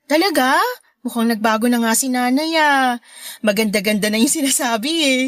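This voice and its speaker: An actress playing a supportive, affectionate married older sister in a Tagalog radio drama, with a Filipino accent. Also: female voice, on the high side, moderate pace, dry recording, studio quality, close to an everyday voice. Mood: tender, upbeat. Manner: encouraging, affectionate.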